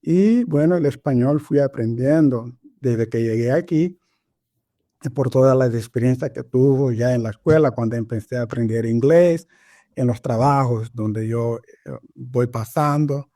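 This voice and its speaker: A man from Angola whose first language is Portuguese, a pastor telling his life story in a podcast interview, halting and searching for words with many fillers. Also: mid-range voice, dark tone, dry recording, clean studio sound. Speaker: pastor, guest.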